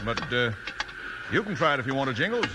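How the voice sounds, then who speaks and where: A man's voice: sing-song, fast, natural voice. A man playing a practical, hard-working timber boss with plain rustic talk, acted in 1950s radio western style. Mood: easygoing. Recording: old radio recording, loud rumbling noise.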